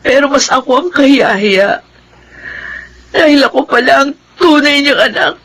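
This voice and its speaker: A woman's voice: sits high, moderate pace, a bit bright, rough recording. A woman playing a guilt-ridden young daughter, crying and ashamed, in a Filipino radio drama. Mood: tearful remorse.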